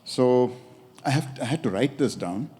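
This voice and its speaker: A man in his sixties from India with an Indian accent gives a measured, pausing conference talk. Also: medium pitch, lively intonation, mellow. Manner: measured, pausing with occasional hesitations. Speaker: design academic giving a talk. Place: lecture hall at a podium. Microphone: podium microphone. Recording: echoey hall.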